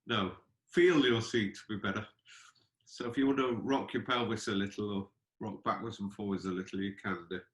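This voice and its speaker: A man with a fairly low voice guides a meditation in a roomy, echoing space. He speaks as a teacher, gently and without hurry. His phrases are exploratory, with pauses between them.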